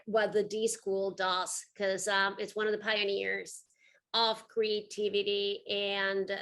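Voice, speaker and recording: A woman with a Venezuelan accent giving a conversational talk to an audience as a guest speaker, earnest and emphatic. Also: medium pitch. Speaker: guest speaker, art curator and entrepreneur.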